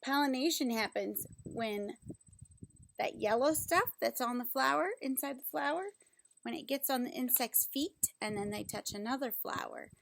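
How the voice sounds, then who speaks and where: A woman reading a children's book aloud like a teacher, pausing to explain things simply and ask questions. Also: high-pitched, vocal fry.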